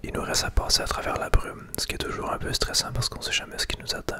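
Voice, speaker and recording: A man with a Québécois French accent whispering casual, unhurried ASMR commentary as he plays a video game. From Quebec. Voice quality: breathy, unvoiced whisper. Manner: unhurried, hushed, casual narration of play.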